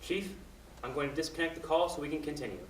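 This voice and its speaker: Man acting an earnest, fast-talking radio host. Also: on the high side, close to an everyday voice. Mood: careful, calming. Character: earnest male radio station host negotiating with a captor. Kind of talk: student radio play acting. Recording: echoey distant room, noisy ambience.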